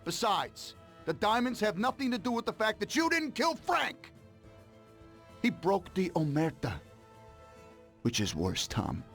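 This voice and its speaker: Game villain: smug male mobster, mocking and theatrical, lively swings in pitch, faint music behind. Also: a little slow, pauses often, full character voice. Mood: scolding. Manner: mocking, sardonic, menacing. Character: smug, cold-blooded mobster betraying his old partner. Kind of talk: dramatic video game cutscene acting.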